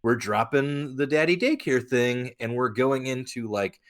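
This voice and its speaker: A man co-hosting a podcast, chatting casually and giving opinions in a halting, blunt way with some swearing. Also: medium pitch. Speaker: podcast co-host.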